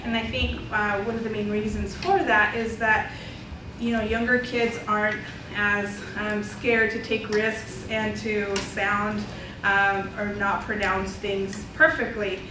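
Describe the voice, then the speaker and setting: A woman whose first language is Spanish, from Idaho, telling her story to an audience in a relaxed way with many ums. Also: mid-range voice, slow pace. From Idaho, USA. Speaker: panelist, ESL instructor. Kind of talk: personal talk to an audience. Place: college symposium hall. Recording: echoey hall, mic far off, noisy.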